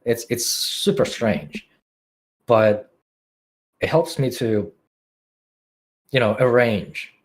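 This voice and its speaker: A man with a Finnish accent talks through his ideas as a guest on a podcast. His voice sits very low, and his answers come in reflective, halting phrases full of fillers and restarts. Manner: musing, searching for words with many fillers and restarts. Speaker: podcast guest, music producer.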